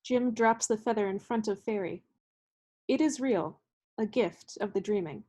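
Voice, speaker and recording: Mellow woman reading stage directions. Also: mid-range voice, moderate pace. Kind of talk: scripted narration.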